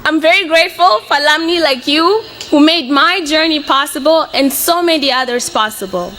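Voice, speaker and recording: Young mozambican lady, portuguese native, bright high voice, earnest student giving a speech. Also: expressive, normal speed, a little hiss or hum. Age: 20s. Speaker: student speaker. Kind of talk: prepared personal speech to an audience.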